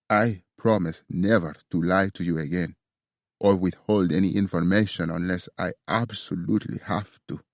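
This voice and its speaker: A man voicing a low, earnest assassin lover with an Italian accent. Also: dry recording, clean studio sound, exaggerated voice. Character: remorseful, protective young male assassin lover.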